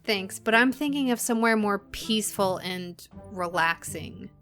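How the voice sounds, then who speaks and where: A woman's voice: medium pitch, a little slow, fairly bright tone, soft background music. A woman playing a guarded, weary young woman in a naturalistic audio drama. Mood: uneasy, reassuring.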